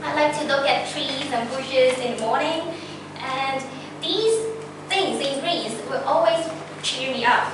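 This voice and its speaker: A woman's voice: high-pitched, a little slow. A young woman giving an earnest, carefully structured prepared speech to a club. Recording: echoey room, distant mic, noisy background.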